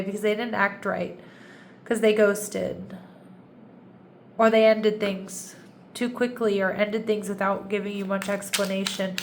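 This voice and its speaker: A woman's voice: medium pitch, gravelly. A woman talking through a tarot reading in an easy, chatty way, her voice a little nasal from congestion. She often restarts and loops back mid-sentence. A faint hum of ambience sits behind her. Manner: chatty, warm asides, frequent restarts. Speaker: tarot reader.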